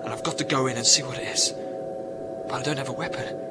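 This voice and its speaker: An actor with a British accent playing a lonely, stranded astronaut in a radio drama, anxious, halting and incredulous. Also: male voice, medium pitch, fairly even intonation, very noisy, lightly acted. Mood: nervous, bracing. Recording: prominent music behind the voice.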